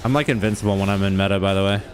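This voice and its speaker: A man's voice: sits low, rapid-fire, slight background noise. A man in his thirties chatting casually while playing an online game, swearing and joking about himself. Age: 30s. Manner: casual, swearing, self-mocking. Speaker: streamer. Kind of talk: casual gaming chat.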